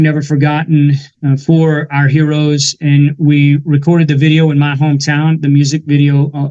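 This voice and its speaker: A man in his forties, a veteran and musician, telling his story in an earnest, steady way during a casual interview. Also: a little high, lo-fi sound. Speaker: guest musician and army veteran.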